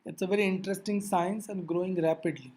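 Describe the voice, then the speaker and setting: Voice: mid-range voice, steady tone, quick pace, a little mellow. A physics teacher giving an explanatory lecture, addressing learners directly with reminders in a didactic way.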